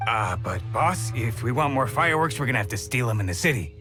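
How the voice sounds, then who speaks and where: A man's voice: mid-range voice, lively intonation, quick pace, bright, forceful tone, soft background music. An actor playing a jittery bandit henchman, hesitant and eager to please his boss, in a game cutscene.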